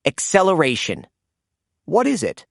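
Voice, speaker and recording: Slow, very animated storybook narrator. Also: medium pitch, gravelly, dry recording, clean studio sound. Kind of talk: animated children's read-aloud narration.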